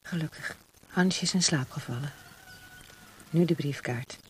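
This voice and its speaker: A woman's voice: deep voice, unhurried. A woman acting in a Dutch radio play as a weary young mother and pilot's wife in a wartime camp, talking in plain, short phrases. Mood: firm. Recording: faintly noisy radio play recording.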